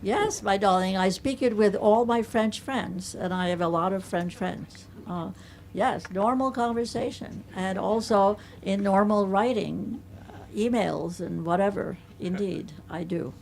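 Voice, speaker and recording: Older woman, slight Hungarian accent, native Hungarian speaker, author at book talk, playful, deliberate, medium pitch. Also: vocal fry, a little mellow. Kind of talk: audience question-and-answer at a book reading. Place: bookstore event.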